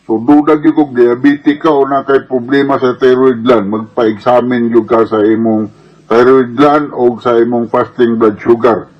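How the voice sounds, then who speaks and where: A man's voice: mid-range voice, fairly even intonation, moderate pace, lo-fi sound. A Filipino man with a Cebuano Bisaya accent answers listeners' questions as a medical advice host, explaining casually and didactically with frequent check-in tags and English medical terms.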